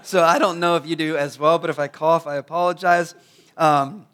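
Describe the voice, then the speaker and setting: A hoarse, raspy-voiced man in his thirties, a pastor praying and then preaching, who often stumbles and repeats words. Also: a little high, fairly even intonation, normal speed, fairly bright tone. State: hoarse from a cold. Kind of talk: prayer and sermon.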